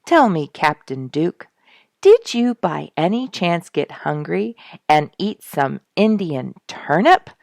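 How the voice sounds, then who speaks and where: A woman's voice: fairly low pitch, very animated, slow, gravelly, a little mellow, dry recording, studio quality, exaggerated voice. A woman voicing a jovial, knowing woodsman in a storybook, with a hearty, teasing, emphatic manner. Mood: teasing concern. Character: jovial, knowledgeable woodsman, teasing his young friend.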